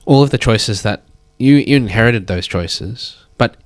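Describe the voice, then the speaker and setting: A man in his thirties, a guest speaking thoughtfully in a measured, drawn-out way. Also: fairly low pitch, dry recording, clean studio sound. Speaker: guest artist. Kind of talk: reflective conversation.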